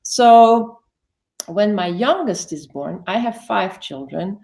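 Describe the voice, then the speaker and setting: A woman's voice: medium pitch, expressive, takes it slowly, vocal fry, mellow. A woman, telling her life story as an interview guest in a chatty way, often correcting herself. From Belgium. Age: 50s. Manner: chatty, self-correcting, many "like" fillers.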